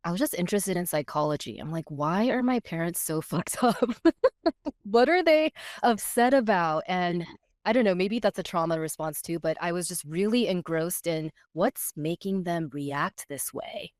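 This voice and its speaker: Low-voiced reflective woman, dry mic. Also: animated, moderate pace, darkish tone, dry recording. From born in Korea, raised in California. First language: Korean. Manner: reflective, searching for words, frequent restarts. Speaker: podcast guest. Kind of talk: casual chat.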